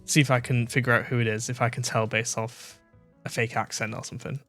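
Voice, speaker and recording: A man's voice: mid-range voice, flat delivery, quick pace, fairly bright tone, faint music behind. A British man hosting a reaction video, chatting casually and thinking aloud with fillers and restarts.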